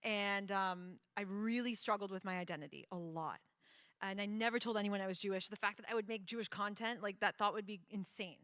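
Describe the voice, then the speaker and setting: A woman's voice: medium pitch, a little fast, fairly bright tone, no room sound, studio quality. A young woman from the United States, a guest in a conversation, telling her own story earnestly with frequent laughs and fillers. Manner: earnest, laughs often, many fillers and restarts.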